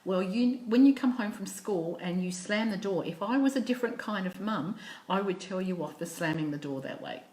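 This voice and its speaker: A woman's voice: medium pitch, expressive, normal speed, dark tone, lightly acted. Woman acting a patient coaxing mother, echoey room. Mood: patient, explaining. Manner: encouraging, questioning. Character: gentle, patient mother coaxing her young son.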